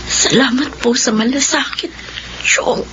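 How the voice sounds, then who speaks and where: A woman's voice: sits high, a little slow, background noise. A woman acting a grieving, bitter young orphaned daughter in a Filipino radio drama, with an emotional, tearful delivery. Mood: hesitant, sad. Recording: dull lo-fi radio drama, loud music.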